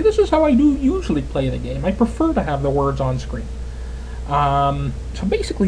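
A man's voice: on the high side, dark tone, a little hiss or hum, rough recording. A Canadian man in his twenties giving casual, rambling gameplay commentary as a let's player. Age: 20s. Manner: chatty, rambling, lots of fillers.

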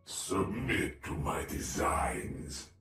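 An actor playing a cold, menacing half-machine villain in a video game, with a robotic, deliberate delivery and an Eastern European accent. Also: male voice, echoey room. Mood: cold, commanding. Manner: slow, menacing, deliberate pronouncements. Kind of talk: video game champion voice lines. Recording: game clip with loud music.

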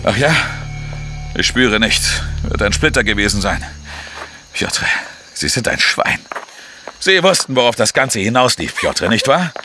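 An actor playing a world-weary investigative journalist in a crime radio drama, speaking in a measured, sombre, terse way. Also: male voice, on the low side, sing-song. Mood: confronting. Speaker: narrator and lead actor. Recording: music behind the voice.

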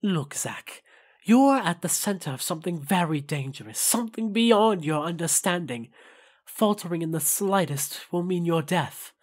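A performer playing a regal, grave demon prince in an animated fan audio drama, formal, haughty and measured. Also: medium pitch, close to an everyday voice.

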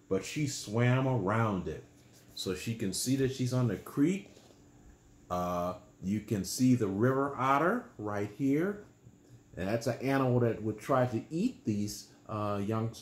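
A man in his sixties reading a children's bedtime story aloud in a small room, pausing to explain things simply and patiently. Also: medium pitch, lively intonation, reverberant.

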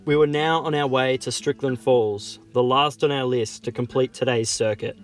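A man, narrating a bushwalk vlog in a calm, steady voice-over. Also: medium pitch, normal speed. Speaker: vlogger narrating a hike. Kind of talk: scripted travel narration. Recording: voice-over with guitar music behind.

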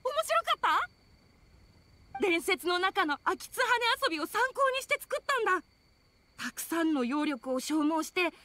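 Eager, high-pitched youkai boy. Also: very animated, moderate pace, pushed and bright, no echo, full character voice. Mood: eager, proud. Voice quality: boyish. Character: young boy one-eyed youkai, earnest and eager. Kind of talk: Japanese anime-style game voice acting.

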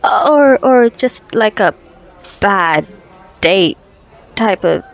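A woman playing a flustered, curious doctor on a date in an ASMR roleplay, stammering nervously and haltingly. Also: mid-range voice, lively intonation, vocal fry, exaggerated voice. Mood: curious, awkward. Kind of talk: ASMR roleplay audio drama.

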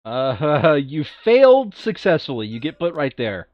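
A man's voice: a little high, very animated, unhurried, no room sound, dull audio. A man running a board game playthrough in casual, crude, joking chat, now and then reading game text aloud. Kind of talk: casual gaming chat with bits of read-aloud flavour text.

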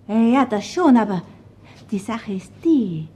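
A flustered Viennese shop worker in a crime radio play. Her voice is very high yet mellow, with an Austrian accent and a defensive manner. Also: animated, measured pace. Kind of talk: naturalistic radio crime drama acting.

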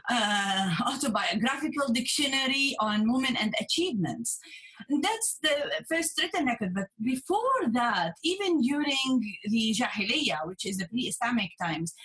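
A middle-aged woman with a Lebanese accent, answering as an interview guest on a video call and pausing to search for words. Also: on the high side, fairly bright tone, slightly rough, poor audio. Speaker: guest, university instructor. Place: book-lined room indoors, video call.

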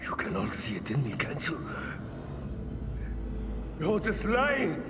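A man's voice: fairly high pitch, huge pitch swings, takes it slowly, heavily acted. An actor playing a paranoid armed man who is terrified of a mist and rants with a breaking voice, in a video game cutscene. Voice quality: frantic, ranting, voice breaking. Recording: game audio with reverb and music.

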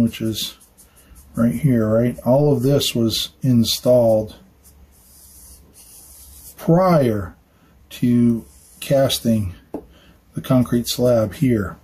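Husky-voiced man chatting through a building detail. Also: medium pitch, slow pace, long pauses, mellow, low-quality recording. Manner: chatty and informal, thinking aloud with fillers and tag questions. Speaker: architect explaining building details. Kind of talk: casual technical explainer. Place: small studio room with dark curtains.

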